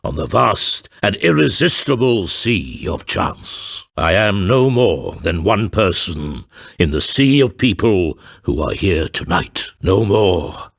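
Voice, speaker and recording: Grave theatrical storyteller dad from a video game, husky low voice, formal and grandiose. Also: male voice, big pitch swings, takes it slowly, no echo, studio quality, heavily acted. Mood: grandiose, ominous. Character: grave, theatrical father and storyteller ruling a town. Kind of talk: dramatic video game voice acting.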